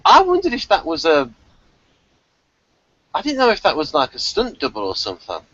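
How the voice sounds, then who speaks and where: A man's voice: on the high side, huge pitch swings, moderate pace, a bit bright, no echo, muffled recording. A man chatting casually as he co-hosts a review, with a wry, nitpicking, hesitant manner. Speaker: podcast co-host reviewing a TV episode.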